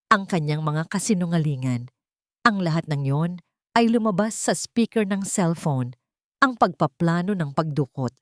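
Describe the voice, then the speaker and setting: A woman's voice: fairly low pitch, dry recording, studio quality. A woman with a Filipino accent narrating a scripted, dramatic romance story.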